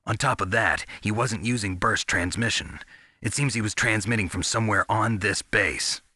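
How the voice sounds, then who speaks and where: A low, husky male voice with a hard, forceful edge. He is a gruff veteran soldier in a video game, terse and serious, recorded dry and close. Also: normal speed, no echo. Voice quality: gravelly, husky. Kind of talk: video game radio dialogue.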